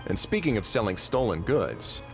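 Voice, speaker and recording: A man's voice: on the low side, big pitch swings, normal speed. A man voicing a shrewd, composed official with dry, wry authority in a video game. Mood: analytical, composed. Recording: faint music behind the voice.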